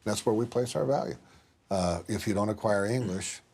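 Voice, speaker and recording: A man with a low voice giving interview answers as a school superintendent. His phrasing is blunt and folksy, and there is faint ambient noise behind him. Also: moderate pace, darkish tone.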